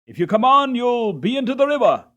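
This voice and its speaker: A man's voice: very high-pitched, big pitch swings, fairly quick, fairly bright tone, pitched above the performer's own voice, heavily acted. A man voicing a frantic toll-gate keeper in a storybook, hollering urgent warnings. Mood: alarmed, urgent.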